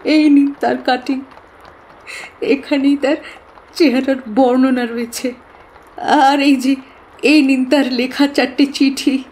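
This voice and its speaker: An actress playing an earnest, devoted young woman client in an audio drama, sorrowful and halting in delivery. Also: high voice, level tone, a little mellow. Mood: earnest, subdued. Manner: hesitant, pleading, halting.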